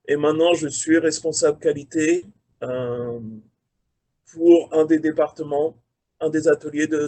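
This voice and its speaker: Frenchman, forties, career mentor, slightly higher voice, fills pauses with "euh". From France. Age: 40s. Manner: relaxed, hesitant with many fillers. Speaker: career mentor, quality manager. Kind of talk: video call interview. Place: his office at a factory. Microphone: video call.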